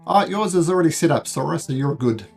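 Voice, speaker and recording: A man's voice: fairly high pitch, lively intonation, fast, vocal fry, slightly rough, soft background music. A man from New Zealand with a New Zealand accent tinged with North American, speaking earnestly as dungeon master over a voice call, with frequent ums and restarts. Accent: New Zealand mixed with North American. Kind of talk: explaining game rules to players. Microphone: voice call microphone.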